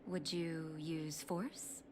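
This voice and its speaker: An actress playing a calm, shrewd underworld doctor in a video game, poised and deliberate with a probing manner. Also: female voice, deep voice, background noise. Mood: pointed questioning. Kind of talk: scripted video game voice acting.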